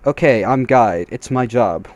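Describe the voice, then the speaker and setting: A man's voice: medium pitch, unhurried, darkish tone, no echo, lightly acted. A man voicing a know-it-all young male guide who explains things matter-of-factly in a comic fantasy story. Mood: instructive. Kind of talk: comedic storybook character reading.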